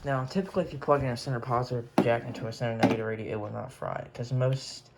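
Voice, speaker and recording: A man giving a casual, unscripted show-and-tell as a hobbyist collector, rambling and halting as he corrects himself. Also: mid-range voice, creaky, heavy background noise.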